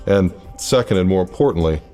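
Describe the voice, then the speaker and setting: An actor playing a secretive, centuries-old immortal leader in an audio drama, speaking with measured, formal authority. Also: male voice, deep voice, lively intonation, dark tone, soft background music. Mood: grave, businesslike.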